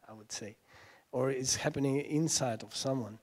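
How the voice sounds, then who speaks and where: A middle-aged man moderating a seminar panel off the cuff, halting and deliberate as he searches for words. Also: medium pitch, a little mellow. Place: lecture hall stage, seated on a panel. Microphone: handheld microphone.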